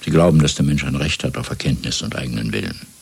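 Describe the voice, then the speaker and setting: An actor playing a cold, controlled interrogator in a 1970s German radio drama, speaking in a measured, deliberate, menacing way. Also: male voice, very deep voice, gravelly, husky, full character voice. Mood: cold, accusing. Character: cold, controlled male Party interrogator and torturer.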